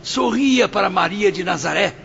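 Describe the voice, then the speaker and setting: A man's voice: high-pitched, animated, normal speed, creaky, fairly bright tone, dull audio, heavily acted. A man playing a wry, proud nobleman's spirit in an audio drama, speaking formally and theatrically with a sardonic edge. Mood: exhorting. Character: Camilo, wry, proud male spirit of a suicide, a viscount. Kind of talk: audiobook radio drama acting.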